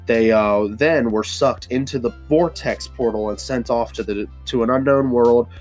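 A man's voice: medium pitch, moderate pace, a little mellow, faint music behind. Stuffy-nosed man rambling casually. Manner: rambling, many fillers and restarts. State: stuffy nose. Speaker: podcast host. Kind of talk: casual solo podcast talk. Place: hot humid home studio with fans running.

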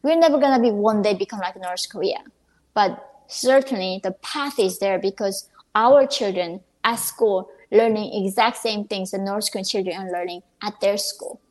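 A woman speaking as an interview guest, earnest and a little halting, restarting her sentences. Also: mid-range voice, poor audio. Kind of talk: conversational interview.

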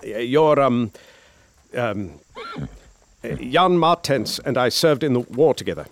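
A man's voice: medium pitch, animated, takes it slowly, vocal fry, a little hiss or hum. An actor playing a polite young war veteran who narrates his journal and stammers hesitantly, in a radio drama. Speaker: narrator and lead character.

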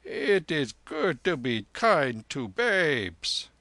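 Storybook role: elderly lama, performed by a male reader, kindly and solemn, lifted pitch, slow and measured. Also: big pitch swings, bright, forceful tone, dry recording, heavily acted. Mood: shy. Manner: slow, archaic, solemn speech.

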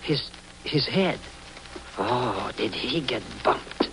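A man's voice: mid-range voice, animated, takes it slowly, fairly bright tone. An actor playing a fretful, cowardly, wisecracking sidekick who mangles his idioms, in old-time radio drama style. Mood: frightened. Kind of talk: 1940s-50s radio drama acting. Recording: noisy old radio recording with clicks.

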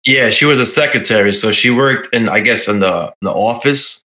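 A man's voice: medium pitch, brisk, sharp tone. A man chatting casually as a podcast guest, with a tentative, hedging way of talking. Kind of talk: casual chat.

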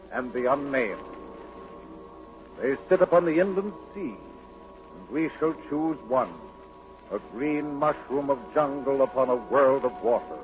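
A man narrating a 1940s radio drama in a measured, dramatic, poetic manner. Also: on the high side. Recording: dull old radio broadcast with music.

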